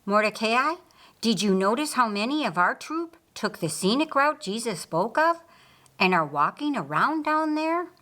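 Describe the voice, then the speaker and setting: A woman voicing a calm man who explains things matter-of-factly in audiobook dialogue. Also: on the high side, normal speed. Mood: curious.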